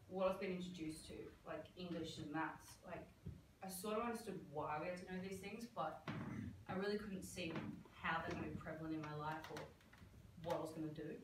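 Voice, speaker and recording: Brief: woman doing a frank young man, low voice, hesitant, boomy distant theatre recording. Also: close to an everyday voice. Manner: blunt, hesitant, swears casually. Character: blunt young man of 22 who hated school. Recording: echoey room, distant camera mic, background noise.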